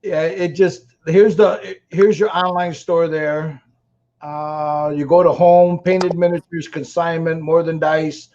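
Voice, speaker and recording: A man's voice: fairly high pitch, little pitch movement, takes it slowly, a little mellow. A man with a Chicago accent hosting a casual livestream, rambling with stammered restarts. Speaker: livestream host. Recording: muffled lo-fi stream audio with faint noise.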